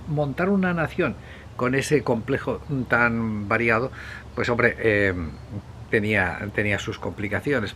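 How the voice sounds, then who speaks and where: Rambling man over noticeable background noise. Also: mid-range voice. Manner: rambling, digressive, hesitant with frequent pauses and self-corrections. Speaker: video presenter. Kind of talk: unscripted, conversational history monologue. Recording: clear steady background noise.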